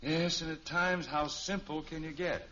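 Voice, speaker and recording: A man's voice: a little high, fairly even intonation, unhurried, natural voice. An American actor playing an exasperated, wisecracking suburban father in a 1950s radio sitcom. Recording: muffled, noisy vintage radio recording.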